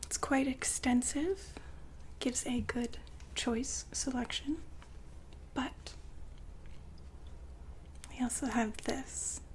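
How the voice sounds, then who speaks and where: A woman playing the poised, businesslike madam of a futuristic establishment in an ASMR roleplay, whispering softly and formally to a client. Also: sits high. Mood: smooth, attentive. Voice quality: soft, breathy whisper. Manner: polite, formal, unhurried saleswoman patter.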